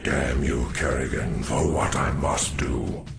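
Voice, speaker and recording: A man playing an ancient, solemn alien warrior in a video game, speaking gravely and formally with a deep gravelly voice. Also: fairly even intonation, heavily acted. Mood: bitter. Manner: slow, grave, formal speech. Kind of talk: dramatic video game acting. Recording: loud game music underneath.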